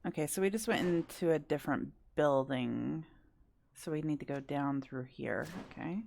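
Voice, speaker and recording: A woman's voice: low voice, moderate pace, creaky, darkish tone, slight background noise. A woman doing casual let's play commentary, thinking aloud in short musing bursts. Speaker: gaming video creator.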